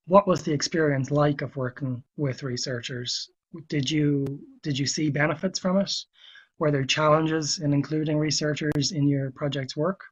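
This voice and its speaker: A man with a cold presenting to a webinar, speaking in a measured way and thinking aloud with fillers and restarts. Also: fairly high pitch, husky. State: hoarse from a cold. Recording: dull lo-fi webinar audio.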